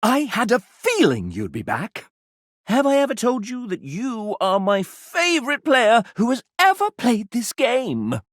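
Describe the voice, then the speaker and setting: An actor voicing a sassy, vain gentleman mascot in a video game, theatrical and pompous, with flamboyant old-fashioned phrasing and a husky edge. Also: very high voice, wildly swooping pitch, unhurried, no echo, studio quality, heavily acted. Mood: gushing affection. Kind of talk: video game character dialogue.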